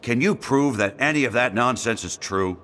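A man's voice: mid-range voice, lively intonation, moderate pace, a bit bright, close to an everyday voice. A man playing a heroic toy space ranger in a video game, stern and commanding with firm, no-nonsense statements. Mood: firm, defiant. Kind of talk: animated video game dialogue.

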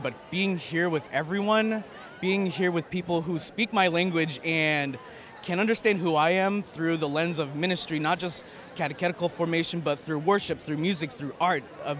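A man's voice: on the high side, a bit bright. A man in his thirties, a campus minister, answering interview questions enthusiastically into a handheld mic in a large arena. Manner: enthusiastic, effusive. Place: large indoor arena floor. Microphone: handheld mic held by interviewer.

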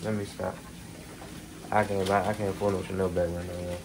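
A man's voice: low-pitched, flat delivery, fairly quick. A young man chatting casually to his viewers while cooking, joking and slangy, filmed on a handheld phone in a small apartment kitchen. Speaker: vlogger. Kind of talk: casual cooking vlog chat. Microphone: handheld phone camera. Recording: noisy kitchen with frying sizzle.

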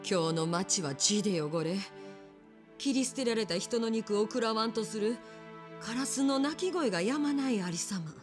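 An actress playing a poised, determined innkeeper with a Kyoto accent in a period game drama, genteel, polite and deliberate. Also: female voice, on the high side, expressive, over music, natural voice. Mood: earnest pleading.

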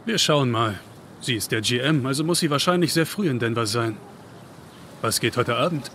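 Bright-voiced, friendly young man. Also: mid-range voice, expressive, normal speed, slight background noise. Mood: casual, friendly. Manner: casual, teasing, affectionate. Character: friendly, upbeat young man, protective big-brother type. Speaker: video game character. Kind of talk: video game dialogue.

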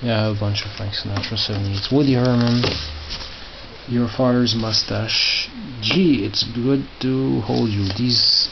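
A middle-aged man giving casual, halting commentary as he reads out titles and mutters asides. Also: steady tone, creaky. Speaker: record collector showing his collection. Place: small tiled indoor room by storage crates. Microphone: handheld camera held by the speaker. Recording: muffled, noisy low-grade camera audio with clicks.

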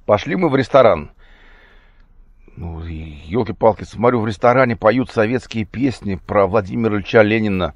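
A man talks off the cuff in a fairly low voice, slightly hoarse with a cold. His delivery is casual and halting, with corrections along the way. The sound is dry and somewhat muffled. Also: dry recording. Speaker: vlogger. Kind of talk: casual spoken monologue. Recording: dull, muffled lo-fi recording.

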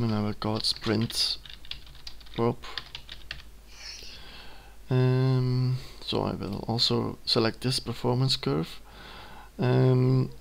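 Hesitant Dutch-accented young man, gravelly. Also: medium pitch, a bit bright. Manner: hesitant, many ums and uhs, self-correcting. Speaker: student giving a tutorial. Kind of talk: unscripted screen-recorded software tutorial. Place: at a computer, indoors.

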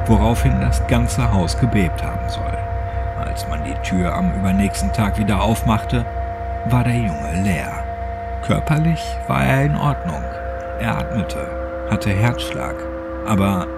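Deep guy reading creepypasta, measured n dramatic, loud music behind him. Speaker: horror story narrator. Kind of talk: scripted creepypasta audiobook narration. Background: music under the voice.

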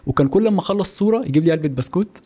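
A man's voice: fairly high pitch, expressive, rapid-fire, dark tone, breathy edge. A man in his twenties with an Egyptian Arabic accent, chatting casually as a podcast guest at a close studio microphone. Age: 20s. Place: small home-style studio office, indoors. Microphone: close studio microphone on boom arm.

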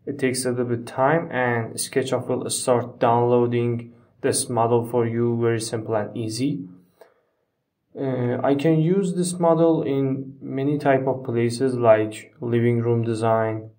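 A man narrating a step-by-step software tutorial in a halting, phrase-by-phrase way with non-native phrasing, recorded on a distant microphone. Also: medium pitch.

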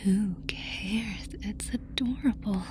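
A woman playing a curious, doting alien fascinated by humans in an ASMR roleplay, whispering softly and breathily in a casual, affectionate way. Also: mid-range voice, slow, noisy background, close to an everyday voice. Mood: adoring.

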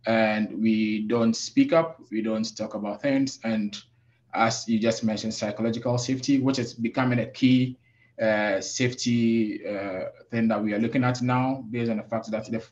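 A man from Africa who works as a safety professional, speaking on a webinar panel in a measured, reflective way with many pauses. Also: on the low side, steady tone, darkish tone, muffled recording. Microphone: video call.

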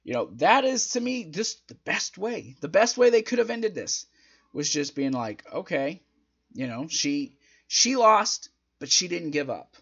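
A man's voice: high-pitched, big pitch swings, unhurried, fairly bright tone, slightly rough, dull audio. A young man giving a casual, opinionated review in an emphatic, conversational way, his throat sounding a little strained. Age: young adult. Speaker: wrestling review host. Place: small indoor home room. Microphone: desk microphone close in front.

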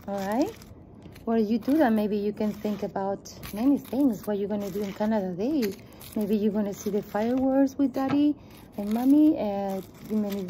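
Woman with accent, dark-toned patient craft teacher. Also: on the high side, slight background noise. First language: not English. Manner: patient, encouraging, repeats herself. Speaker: children's craft leader. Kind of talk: step-by-step craft lesson for kids. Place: indoor room with table, at a desk.

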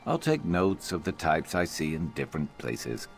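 A man playing a wry, gruff reptilian adventurer companion in a video game, with a gravelly, dry, deadpan grumble. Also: extremely low pitch, moderate pace, faint music behind, heavily acted. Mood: dry, grumbling.

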